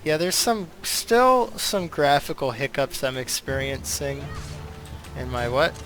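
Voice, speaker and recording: A man's voice: mid-range voice, slow, a bit bright. A man giving casual gameplay commentary as a streamer, rambling and thinking aloud as he goes. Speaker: game streamer. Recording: game music behind the voice. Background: video game music.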